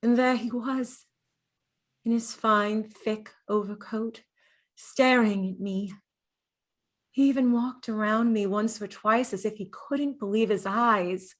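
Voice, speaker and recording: Gentle, wistful, slow-speaking young muse. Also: female voice, on the high side. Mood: nostalgic, confiding. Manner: confiding, chattering, tender, nostalgic. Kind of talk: dramatic monologue, stage-style acting.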